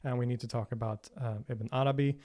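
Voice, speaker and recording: A man's voice: medium pitch, fairly even intonation, fast talker, mellow. A man from Sweden whose first language is Swedish, hosting a livestream and answering viewer questions thoughtfully, with frequent fillers and restarts. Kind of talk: casual livestream Q&A.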